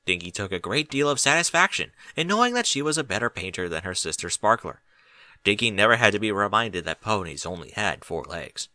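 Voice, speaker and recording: A man narrates a storybook tale in a bright, forward voice. His pitch moves up and down in a lively, expressive way, and the sound is close and dry. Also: a little fast, dry recording. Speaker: audiobook narrator reading fan fiction.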